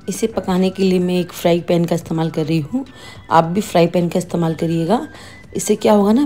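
A woman's voice: fairly low pitch, warm tone. A woman hosting her cooking channel, patiently explaining each step of a home recipe.

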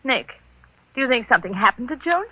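An actress playing a detective's breezy, playful young wife, airy and coy, in an old radio mystery. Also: female voice, on the high side, very animated, brisk, no room sound, lo-fi sound. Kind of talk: 1940s radio mystery acting.

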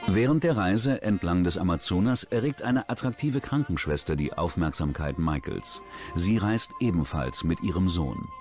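A man narrates a true-crime documentary in a measured, serious tone. His voice sits very low. Clear music plays underneath throughout. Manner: measured, serious storytelling delivery. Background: steady background music.

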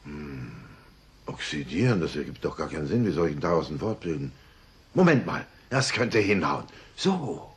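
A man's voice: a bass voice, big pitch swings, moderate pace. A man voicing a dim, hot-tempered, blustering cartoon dad in an audio drama. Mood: puzzled, grumbling. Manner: grumbling, blustering.

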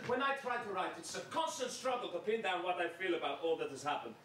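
An actor playing a restless young novelist, lively and insistent, recorded on a theatre stage. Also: male voice, high voice, fast, distant mic. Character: young man, restless novelist, eager and impulsive. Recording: echoey theatre stage from afar with noise.

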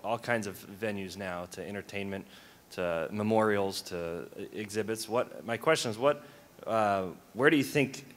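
A man in the audience asking a speaker a question, hesitant and halting with many fillers. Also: lowish voice.

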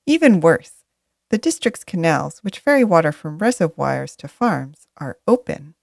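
A woman narrating a scripted educational video in a lively, emphatic way, stressing questions. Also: deep voice, unhurried, creaky, no room sound, studio quality. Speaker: presenter of land-buying explainer videos.

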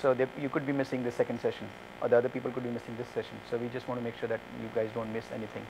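A man's voice: medium pitch, flat delivery, racing, noisy background. A man in his thirties, a conference speaker, answering audience questions with many fillers and restarts, on a lapel mic. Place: conference room with curtains.

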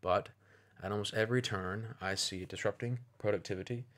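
A man with a low, deep voice delivers video commentary over clips. He speaks in a measured, deliberate way, building his points in steady, persuasive phrases.

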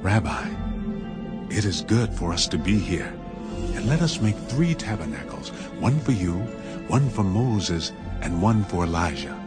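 A deep-voiced, earnest disciple full of awe in a scripture audio drama. His pitch moves freely under very loud music. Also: very animated, unhurried. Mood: awed, earnest. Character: Peter, earnest awed male disciple.

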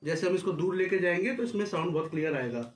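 Guy doing a casual unboxing, highish voice, roomy sound w a bit of noise. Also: expressive, quick pace. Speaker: vlogger presenting a product unboxing. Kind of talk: casual hands-on demonstration. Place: small furnished office room, indoors. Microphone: camera a few feet away across a desk. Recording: roomy echo, faint background noise.

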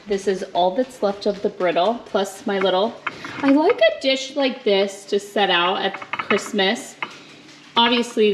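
A woman's voice: medium pitch, noisy background. A woman in her thirties from Alaska, chatting casually as she cooks and explains each step to the camera in her home kitchen. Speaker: homestead vlogger. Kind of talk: casual cook-along vlog. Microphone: handheld or mounted camera, moving around. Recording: clear kitchen clatter behind. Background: clattering pots, dishes and cutlery.

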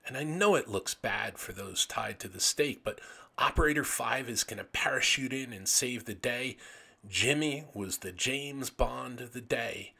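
A man with a gravelly, raspy voice narrating an illustrated commentary as host, with enthusiastic, dramatic emphasis on phrases. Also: medium pitch, pushed and bright. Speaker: host of a video series on vintage pulp magazines.

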